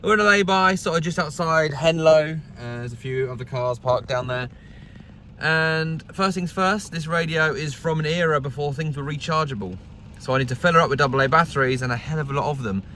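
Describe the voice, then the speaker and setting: Higher-voiced British man chatting, noisy background. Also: sharp tone. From United Kingdom. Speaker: vlogger and radio hobbyist. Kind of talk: casual vlog chat to camera. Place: inside a parked pickup truck.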